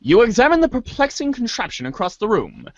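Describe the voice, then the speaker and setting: A man's voice: extremely high pitch, wildly swooping pitch, a little fast, no echo, lo-fi sound. A man giving a theatrical dramatic reading of scripted narration, now and then stumbling and rereading words. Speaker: voice reader in a webcomic reader's theater.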